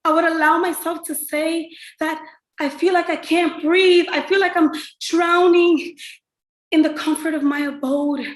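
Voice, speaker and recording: A woman's voice: very high-pitched, fairly even intonation, measured pace. An actress performing a rhymed spoken-word monologue with dramatic, pleading delivery, a woman in a roomy, echoing recording.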